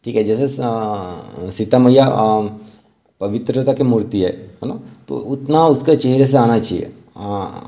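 A man narrating a step-by-step art tutorial as an instructor, informally and haltingly, mixing in English terms. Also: medium pitch, warm tone.

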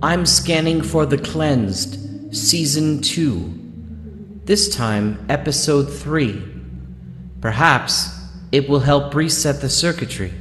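A man's voice: a little high, animated, takes it slowly. A man playing a methodical ship's operator in scripted audio drama hosting dialogue. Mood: focused. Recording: echoey hall-like effect, faint background hum.

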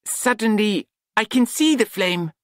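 A man's voice: high-pitched, expressive, measured pace, fairly bright tone, no echo, clean studio sound, voice pushed up. A man voicing a meek, cheerful young servant in an audiobook, deferential and earnest.

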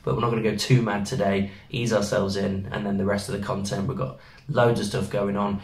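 Man, thirties, casual rambling vlog host, lowish voice, roomy echo, distant mic. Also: flat delivery, a little fast. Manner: chatty, rambling with fillers. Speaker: travel vlogger. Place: small hotel room, indoors. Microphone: camera in front of seated pair. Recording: echoey room, mic at a distance.

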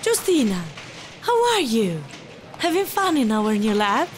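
A woman's voice: fairly high pitch, sing-song, a little slow, a little hiss or hum. An actress playing a friendly female scientist in an audio drama. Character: warm Italian female scientist.

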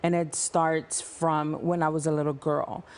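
A woman in her thirties from El Salvador, a nonprofit founder talking passionately as a guest in a TV news studio on a lapel mic. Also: sits low. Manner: passionate, fast-flowing, animated hand gestures. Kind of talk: TV studio interview.

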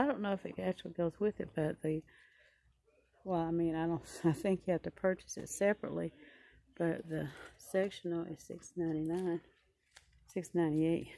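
Lady doing a shopping vlog in a big store, soft mellow voice w lots of creak, just chatting as she looks around. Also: lowish voice, fairly even intonation, normal speed, pauses often. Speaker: shopping vlogger. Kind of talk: casual running commentary while browsing. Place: large indoor furniture showroom.

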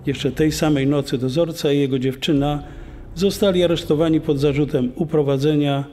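Man playing a mellow, higher-voiced investigator in reverb. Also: fairly even intonation, moderate pace, natural voice. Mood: sombre. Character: sombre male police investigator recalling a case. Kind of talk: scripted documentary testimony. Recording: long reverb, music underneath.

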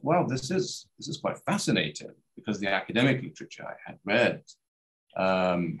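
Low-voiced man from Iraq, hesitant. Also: low-quality recording. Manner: hesitant, many restarts and fillers. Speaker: guest scholar. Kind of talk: casual interview over video call. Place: home study with bookshelves. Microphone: webcam on video call.

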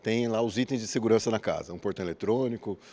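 A man in his forties talks into a handheld mic in a large indoor event hall. His voice is on the low side. He answers at a measured pace, explaining with everyday examples. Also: a little mellow. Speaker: business partner guest. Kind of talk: interview answers.

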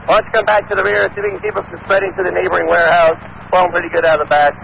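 A man's voice: sits high, flat delivery, rapid-fire, creaky, a bit bright. A male battalion chief giving emergency traffic over a two-way radio. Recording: faintly noisy radio scanner feed.

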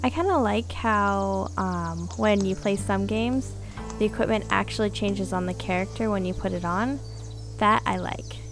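A woman gives casual commentary on her gameplay. Her mid-pitched voice has a good deal of vocal fry. Game music plays clearly behind her. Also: moderate pace. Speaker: gaming video host.

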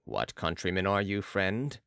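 Man acting a deep, commanding mesmerist. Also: a little slow, vocal fry, smooth, dry recording, clean studio sound, full character voice. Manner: calm, assured, commanding. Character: commanding, serene male mesmerist with mystical authority. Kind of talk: audiobook character voice.

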